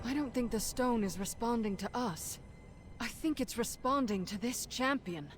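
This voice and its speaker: A woman's voice: fairly high pitch, moderate pace, over music, natural voice. A woman playing a resolute sorceress leading her allies in a fantasy game cutscene, earnest and authoritative. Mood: serious.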